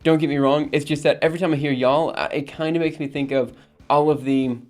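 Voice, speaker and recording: A man's voice: on the high side, fairly even intonation, a little fast, a little mellow, faint music behind. A man from Alabama hosting a live stream, chatting casually with viewers, rambling with frequent ums and laughs. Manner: rambling, reads chat aloud and answers, frequent ums and laughs. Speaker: livestream host.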